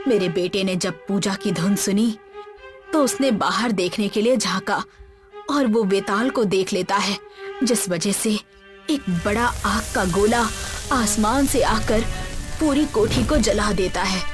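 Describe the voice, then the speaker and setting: A woman's voice: mid-range voice, close to an everyday voice. A woman voicing a protective, fearful old village grandmother in a horror story, with a solemn maternal way of telling.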